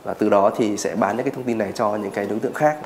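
A man in his thirties explaining as an expert in an interview, pausing on filler words. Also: on the low side, darkish tone, a little hiss or hum.

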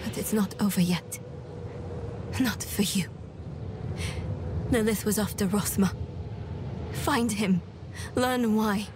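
An actress playing an earnest, devoted and vulnerable young daughter in a dark fantasy game cinematic. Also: female voice, medium pitch, slow, long pauses, background noise, lightly acted. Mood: subdued, resolute. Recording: music behind the voice.

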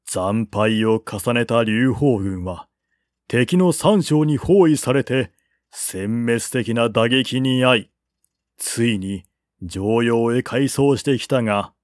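Male audiobook narrator for historical fiction: measured, unhurried, mid-pitched, recorded dry. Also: darkish tone, dry recording. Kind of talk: dramatic reading of a historical novel.

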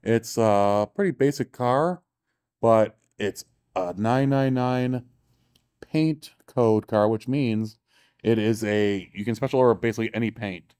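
A man's voice: lowish voice, unhurried, darkish tone, clear, resonant tone, clean studio sound. A man giving an enthusiastic, offhand walking tour of cars in a large indoor exhibition hall, correcting himself as he goes. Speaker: show tour guide and author. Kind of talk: casual walking tour commentary.

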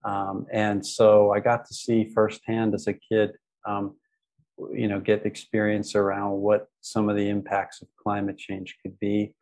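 An American man with a fairly low voice and little pitch movement chats as a podcast guest. He is friendly and fills his sentences with ums and small restarts. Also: monotone, a little slow, a little mellow, low-quality recording. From United States. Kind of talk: casual interview talk.

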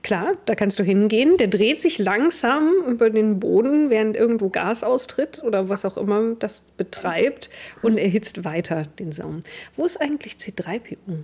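A young adult woman with a slightly husky voice, narrating a tabletop role-playing game as game master in vivid descriptive detail. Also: medium pitch, animated, normal speed, darkish tone. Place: indoor home streaming setup. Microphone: headset.

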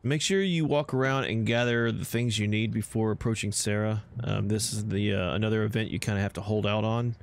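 A man's voice: lowish voice, fairly even intonation, a little fast, vocal fry, few pauses. A man giving casual, chatty, informal commentary while playing a video game. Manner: laid-back, chatty, informal. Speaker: gameplay video host. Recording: game sounds under the voice. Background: video game ambience and effects.

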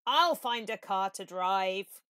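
A woman voicing a boastful, reckless gentleman toad from a children's storybook, exclaiming theatrically. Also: medium pitch, animated, slow pace, smooth, no room sound, clean studio sound. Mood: excited, breezy.

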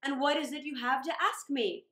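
A woman's voice: sits high, moderate pace, a bit bright, echoey room. Woman acting bold, forthright samurai warrior. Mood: bold questioning. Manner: direct, bold, questioning.

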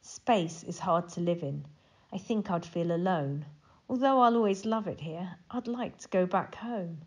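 A woman voicing a young, curious monkey who dreams of space in a children's storybook, speaking in earnest, expressive rhyme. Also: on the low side, a little slow, breathy edge. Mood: wistful.

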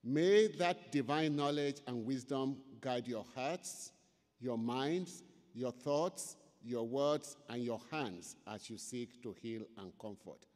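A man's voice: mid-range voice, a little mellow. Solemn man with a Yoruba accent, hall echo. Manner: solemn, measured, chanting in places. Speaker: invocation speaker. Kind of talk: ceremonial invocation and prayer. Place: large auditorium stage at a lectern. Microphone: lectern microphone. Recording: long hall reverb.

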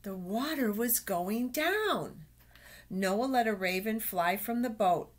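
An older woman reading a picture book aloud to young children, exclaiming and pointing things out with drawn-out words. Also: mid-range voice, lively intonation. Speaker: storytime reader for children. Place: small office, indoors. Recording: noisy webcam recording with clicks.

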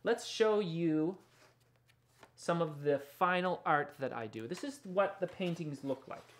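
A man in his thirties talking informally as an author about his work, soft-spoken, reflective and a little halting. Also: a little high, lively intonation, slight background noise. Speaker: children's picture book author and illustrator. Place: small indoor studio lined with books and records.

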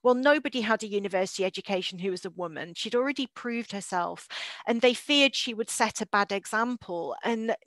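A woman's voice: medium pitch, fairly quick, a bit bright, no room sound. A middle-aged British woman giving an informal illustrated lecture in a conversational, enthusiastic and openly opinionated way. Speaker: guest speaker, amateur astronomer. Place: indoors on a group video call.

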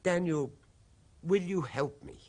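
An actor playing a grieving 19th-century father searching for his lost son in a 1970s radio horror play, speaking gravely and deliberately. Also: sits high, mellow. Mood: grieving, resolute. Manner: grave, dramatic, deliberate phrasing.

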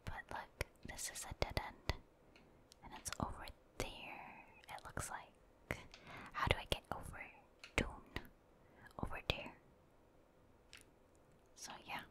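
Female ASMR game commentator, native Filipino speaker; breathy whisper, slow delivery, musing and repetitive. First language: Filipino (Tagalog). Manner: gentle repetition of short phrases, musing aloud to viewers. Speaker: ASMR gaming video creator.

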